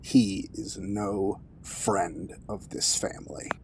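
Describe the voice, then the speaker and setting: A man's voice: sits low, little pitch movement, slow pace, creaky, a little mellow, slight background noise, heavily acted, rough recording. A man playing a stern, authoritative executive in an audio drama, formal and sardonic in manner. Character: cold, curt, authoritative wealthy male executive.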